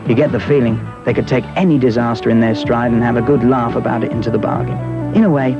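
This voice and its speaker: A man's voice: medium pitch, fairly even intonation, quick pace. A British man narrating a public information film in a conversational, plain-spoken, matter-of-fact voice-over with colloquial turns of phrase. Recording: dull, lo-fi 1980s film soundtrack. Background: prominent guitar music.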